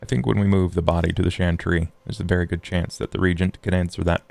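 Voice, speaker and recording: A man playing a scholarly, formal vampire in a tabletop game, speaking in measured, wordy phrases over music. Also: flat delivery, a little mellow. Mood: grave. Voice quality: low, gravelly fry. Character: scholarly, formal, morose male vampire occult theorist. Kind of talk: in-character tabletop roleplay.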